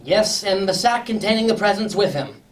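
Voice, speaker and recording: A woman's voice: medium pitch, animated, normal speed, a crowd in the background, close to an everyday voice. A woman playing a decisive aristocratic lord, direct and authoritative, in an amateur radio drama. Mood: urgent. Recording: noisy, clicks, distant microphone.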